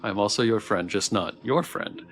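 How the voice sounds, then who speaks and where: A man's voice: on the low side, normal speed, fairly bright tone, faint music behind. A man streaming a visual novel, chatting casually and reading aloud as he plays.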